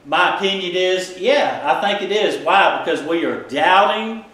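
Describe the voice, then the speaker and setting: A middle-aged man, a pastor preaching a folksy, animated sermon in a small church. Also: sits high, unhurried. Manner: folksy, animated, gesturing widely while preaching. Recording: echoey church room.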